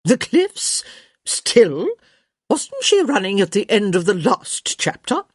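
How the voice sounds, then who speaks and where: A woman voicing an elderly countess, sharp, theatrical and blunt, in a story reading. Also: medium pitch, very animated, takes it slowly, slightly rough, no room sound, studio quality, voice pushed up, full character voice. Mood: sceptical.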